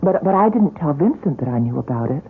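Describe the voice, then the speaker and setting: Radio mystery role: earnest young woman, gentle and troubled, husky, expressive, old lo-fi sound. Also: sits low, fast, full character voice. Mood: reflective. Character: concerned, gentle young woman confiding a dark story. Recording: muffled, lo-fi radio drama recording.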